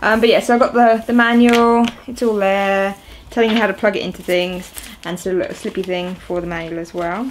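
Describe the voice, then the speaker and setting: A young woman talks casually to a camera from a small room at home, her voice sitting at an everyday middle pitch. She rambles through an unboxing with plenty of ums and uhs, and a faint hiss sits under the recording. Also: little pitch movement, brisk, few pauses. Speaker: video host. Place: small indoor room at home, seated on a sofa.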